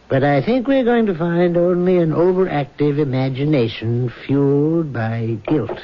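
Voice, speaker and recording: An actor playing an authoritative, dismissive hospital doctor with a measured, clinical delivery in a 1970s radio drama. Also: male voice, a little high. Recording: noisy, dull vintage radio recording.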